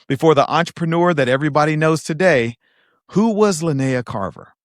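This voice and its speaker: A man's voice: on the high side, brisk, dry recording, clean studio sound. A man hosting a podcast interview, asking conversational, guiding questions. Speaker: podcast host.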